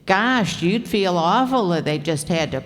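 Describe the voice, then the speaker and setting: A woman's voice: on the low side, animated. A woman playing a scatterbrained, chatty, good-natured member of a household in an old-time radio comedy, with guileless delivery. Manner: unhurried, guileless storytelling. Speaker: actor in radio comedy play. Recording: echoey hall, live stage performance.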